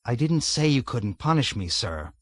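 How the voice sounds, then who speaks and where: A man voicing a timid young cadet who answers politely and hesitantly, often quiet and low. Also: big pitch swings, moderate pace, no room sound, faint music behind. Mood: meek, flustered. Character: timid young male air cadet under interrogation.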